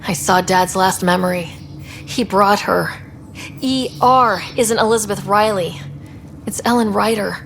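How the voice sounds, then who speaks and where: A young space explorer in a sci-fi video game, earnest and conversational. Her voice sits at a middle pitch with plenty of creak. There is faint noise behind her. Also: measured pace, fairly bright tone. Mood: tender, revealing. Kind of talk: scripted game dialogue.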